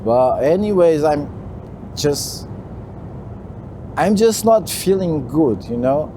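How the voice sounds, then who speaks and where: A man from Portugal with a Portuguese accent, a truck driver talking casually to his viewers, halting and repeating himself, with "you know" tucked into most lines. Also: on the high side, very animated, background noise. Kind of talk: casual talk to camera while driving. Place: truck cab on the road.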